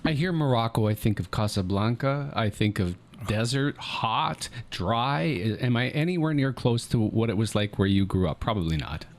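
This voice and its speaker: A man's voice: medium pitch, expressive, moderate pace, few pauses. A middle-aged man, hosting a local interview show in a conversational style. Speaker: talk show host.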